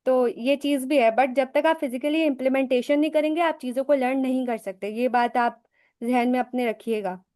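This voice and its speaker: A woman's voice: high voice, fairly even intonation, normal speed, a little mellow. A woman hosting a live English lesson, explaining in a chatty way with rhetorical questions and personal examples, often mixing English phrases into her talk. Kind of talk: casual live teaching talk.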